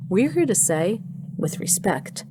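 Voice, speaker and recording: A woman's voice: medium pitch, very animated, measured pace, noisy background. A woman playing an earnest heroine who narrates and acts in a first-person audio drama. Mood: firm, pleading. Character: earnest human heroine narrating her story.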